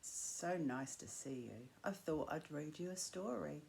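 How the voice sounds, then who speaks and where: A woman reading a rhyming picture book aloud to children, with measured, expressive phrasing and drawn-out key words. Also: low-pitched. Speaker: storyteller reading to school pupils. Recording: clear background noise.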